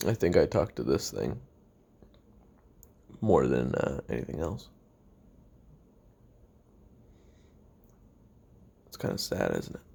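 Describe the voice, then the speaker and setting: A man acts out a lonely, worn-out former hitman in his twenties, recording a confessional audio diary for a roleplay drama. His voice is extremely deep and husky, rising and falling in lively swings. He speaks haltingly and broodingly, trailing off into pauses. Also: heavily acted. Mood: weary, bitter. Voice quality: gravelly, husky. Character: weary, lonely 29-year-old retired assassin confessing on tape.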